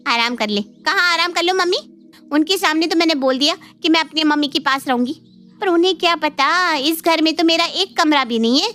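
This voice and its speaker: Woman acting a high, pouty, entitled daughter. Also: fairly quick, bright, forceful tone. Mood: complaining. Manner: whiny, complaining. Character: pouty, entitled married daughter visiting her parents' home. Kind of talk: Hindi cartoon family drama acting. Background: faint background music.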